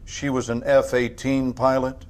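A man playing a sardonic, controlled government agent leading an interrogation in a video game, speaking in a measured, probing way. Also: medium pitch, little pitch movement, close to an everyday voice. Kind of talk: naturalistic video game acting.

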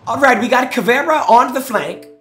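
A man's voice: extremely high pitch, normal speed, pushed and bright, reverberant, soft background music. A young man streaming on a headset mic in a small room, reacting to gameplay clips with teasing jokes and thanking his chat. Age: young adult. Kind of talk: casual live-stream commentary.

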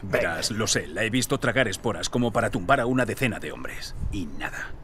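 An actor playing a gruff, weary middle-aged smuggler who talks bluntly, dubbed for a game. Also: male voice, on the low side, lively intonation, fairly quick, fairly bright tone, a little hiss or hum. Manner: blunt, pleading then angry.

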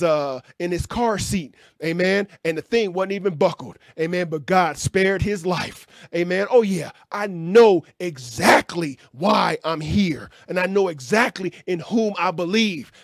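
A man in his fifties leads a Bible class in a fervent, folksy preaching cadence, punctuating nearly every phrase with an amen or hallelujah. His voice sits fairly high for a man. It is recorded dry and close on a stand mic in a small room. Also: no room sound. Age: 50s. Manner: emphatic call-and-response preaching with frequent amens; folksy, conversational, gestures with hands. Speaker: pastor. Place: small indoor room with curtain backdrop. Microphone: stand mic in front.

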